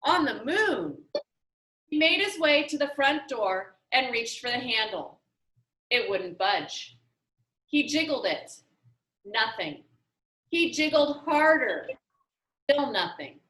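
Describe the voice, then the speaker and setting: A woman's voice: high-pitched, bright, forceful tone. A woman reading a children's novel aloud with dramatic, emphatic storytelling. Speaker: audiobook reader. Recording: roomy echo.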